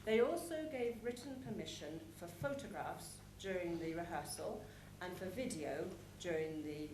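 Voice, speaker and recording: A woman giving a prepared conference presentation, explaining things in measured phrases with deliberate pauses. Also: lowish voice, a little mellow. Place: large conference hall with an audience. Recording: echoey conference hall, clear background noise.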